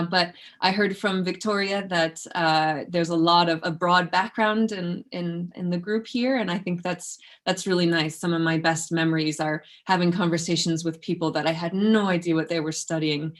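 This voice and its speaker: Lower-voiced woman giving an informal lecture. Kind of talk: informal academic talk. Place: indoors in an office, online presentation.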